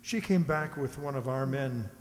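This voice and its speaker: Police inspector, played by an older man: mid-pitched, measured, no-nonsense, radio mystery staged in a reverberant hall. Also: close to an everyday voice. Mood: explanatory. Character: matter-of-fact, reassuring male police inspector. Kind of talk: old-time radio mystery acting. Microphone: stand microphone on stage. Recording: echoey hall, live stage performance.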